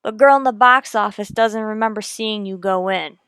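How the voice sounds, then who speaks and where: An actress playing a cool, persistent police detective in a radio crime drama, questioning in a clipped, deadpan, needling way. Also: female voice, medium pitch, moderate pace, clear, resonant tone, dry recording, studio quality, lightly acted. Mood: pressing, cool. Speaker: voice actor in an audio police drama.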